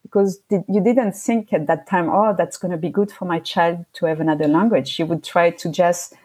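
Guest: middle-aged woman from Switzerland, low-ish voice, thoughtful and halting. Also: a little mellow, slightly rough. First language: French. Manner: thoughtful, frequent restarts and fillers. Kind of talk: casual interview conversation.

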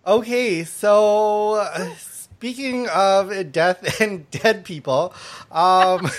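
A woman's voice: medium pitch, drawn-out words. A woman born in Thailand, hosting a podcast and chatting bluntly, laughing often and swearing. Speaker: podcast host. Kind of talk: casual podcast chat.

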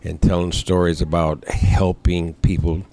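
A man's voice: low-pitched, level tone, a little slow, mellow. A man co-hosting an interview, adding brief affirmations and reflective comments. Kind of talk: casual interview.

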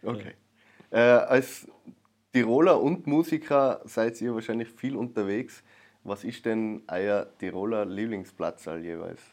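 A man speaks as a podcast interviewer. His voice is a little on the low side. He puts his questions in a casual, conversational style. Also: measured pace, a little mellow.

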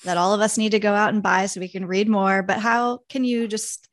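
A woman's voice: mid-range voice, fairly even intonation, brisk. A woman co-hosting a podcast, reading a content warning and asking friendly, prompting questions. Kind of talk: conversational interviewing.

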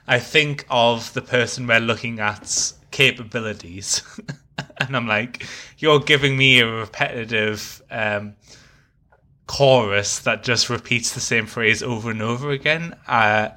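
A man's voice: medium pitch, unhurried, sharp tone. A man co-hosting a casual music discussion, talking in an effusive, analytical and wordy way. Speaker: podcast co-host.

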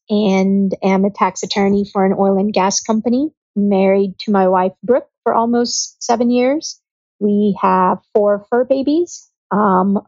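A woman in her thirties from Kenya, a podcast guest speaking in a steady, reflective way. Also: medium pitch, dry recording. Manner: steady, reflective, even-toned. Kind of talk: casual interview chat. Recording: dry, dull lo-fi sound.

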